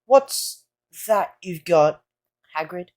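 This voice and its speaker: A woman's voice: lowish voice, lively intonation, slow, darkish tone, soft background music. A woman voicing an earnest, curious schoolboy in an audio drama, with an urgent manner. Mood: puzzled. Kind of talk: fan audio drama acting.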